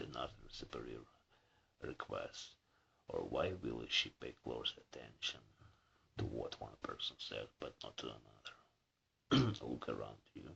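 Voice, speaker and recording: Very deep husky gravelly man, throat-clearing. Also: lo-fi sound, slight background noise. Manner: keeps clearing his throat; halting reading with restarts and slips. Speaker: vlogger reading a book aloud. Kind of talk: casual reading aloud. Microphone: camera mic some distance away.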